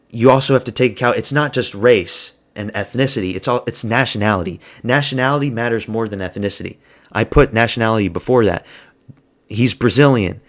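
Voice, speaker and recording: A man giving a blunt, crude, slang-heavy solo review of fight results. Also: medium pitch. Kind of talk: casual solo commentary.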